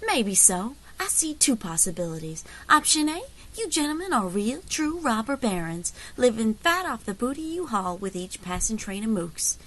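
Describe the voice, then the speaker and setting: Woman acting a folksy, high-voiced frontier leader. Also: very animated, moderate pace, few pauses, a bit bright. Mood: wry, persuasive. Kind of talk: dramatic audio fiction acting.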